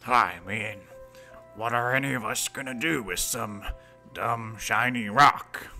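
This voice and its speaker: Hearty righteous smiter, male actor, slow grandiose delivery, piercing edge, fantasy audio drama. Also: mid-range voice, creaky, bright, forceful tone, faint music behind, heavily acted. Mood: matter-of-fact. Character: righteous, bombastic warrior eager to smite.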